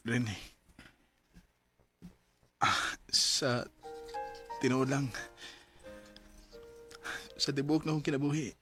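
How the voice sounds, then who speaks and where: Guy playing earnest young cebuano man, halting secret lover, radio drama w soft music behind. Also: mid-range voice, close to an everyday voice. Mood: nervous, hesitant. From Philippines. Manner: hesitant, halting.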